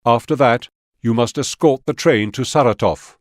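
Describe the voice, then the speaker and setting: An actor playing a sober, serious Soviet army officer who gives measured, instructive mission briefings in a war game. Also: male voice, mid-range voice, no echo, studio quality, lightly acted. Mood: grave, instructive.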